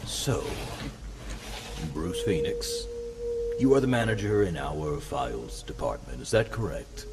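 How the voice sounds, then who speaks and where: A man playing a menacing, falsely soothing senior scientist questioning a captive in a horror series, slow and condescending. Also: sits low, very animated, creaky, very noisy, full character voice. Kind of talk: horror web series acting. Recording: loud music under the voice.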